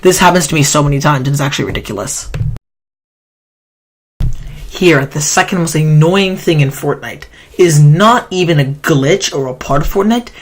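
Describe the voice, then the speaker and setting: A man's voice: a little high, normal speed. Nasal young man with a sore throat. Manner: rambling, self-correcting, complaining. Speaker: gaming YouTuber. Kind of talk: casual countdown commentary.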